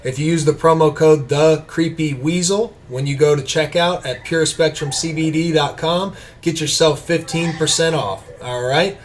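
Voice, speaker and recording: A man hosting a casual podcast, talking with excitement and trailing off quietly at sentence ends. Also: on the high side. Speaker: podcast host. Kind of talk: casual chat and ad reads.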